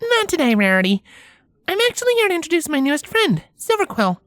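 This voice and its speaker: A man's voice: extremely high pitch, huge pitch swings, fast, a bit bright, dry recording, studio quality, pitched above the performer's own voice, full character voice. A man voicing a friendly young female pony with an encouraging, chatty manner, in a story reading.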